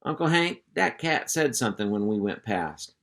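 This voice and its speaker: A man's voice: on the low side, sing-song, moderate pace, fairly bright tone. A man voicing an earnest, worried little male puppy. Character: small eager male puppy.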